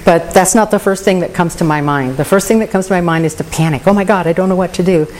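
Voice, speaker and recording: Woman, lowish voice, candid recovery share with faint room noise behind. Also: fast talker, darkish tone. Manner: candid, earnest, self-deprecating humor. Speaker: recovery meeting member.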